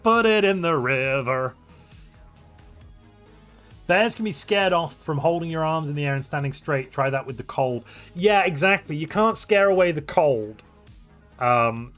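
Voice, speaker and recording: A man from North Wales, chatting casually and animatedly on a live stream, rambling and joking at his own expense. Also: a little high. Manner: animated, rambling, jokey and self-mocking. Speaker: streamer and tournament caster.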